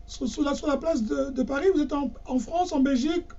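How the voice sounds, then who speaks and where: Hesitant host, high mellow voice, dull sound. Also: male voice, a little fast, creaky. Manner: hesitant, stammering restarts. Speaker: radio and TV host. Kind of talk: interview hosting.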